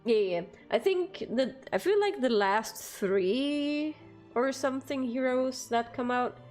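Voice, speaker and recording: A woman's voice: sits high, animated, slow pace, darkish tone, soft background music. A young woman from Denmark with a light Danish accent, chatting casually with viewers on a live stream and laughing often between phrases.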